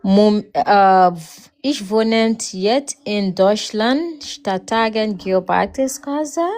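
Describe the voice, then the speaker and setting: A woman of thirty from Liberia, whose first language is Mandinka, introducing herself carefully in a language class with hesitant pauses. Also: mid-range voice, a little mellow. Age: 30s. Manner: careful hesitant learner, pauses between phrases. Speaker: language student.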